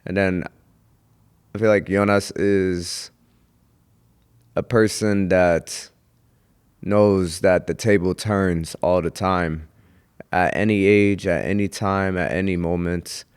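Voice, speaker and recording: A young man with a low, deep voice tells his story in a relaxed podcast chat, pausing now and then to think. His tone is smooth and resonant, and he speaks in a reflective, unhurried way. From Houston, Texas. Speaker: guest comedian. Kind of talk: casual podcast interview.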